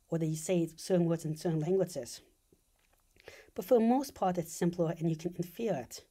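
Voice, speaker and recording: A woman's voice: fairly low pitch, warm tone. A woman giving an informal explanatory monologue, thinking aloud as she explains technical ideas step by step. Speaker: video essayist.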